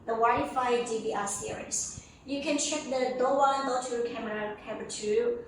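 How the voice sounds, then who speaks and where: A woman giving a spoken product walkthrough as a sales representative, in simple, halting phrases with repetitions. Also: on the high side. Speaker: company sales representative giving a showroom tour. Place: indoor product showroom. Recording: echoey room, distant mic, faint noise.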